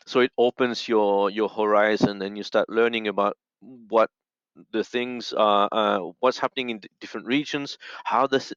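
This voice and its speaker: A man giving instructive advice as an interview guest, in a halting way, restating his phrases as he goes. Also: fairly low pitch, fairly bright tone, no room sound. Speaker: audio and radio expert, podcast guest. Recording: dull, dry lo-fi call audio.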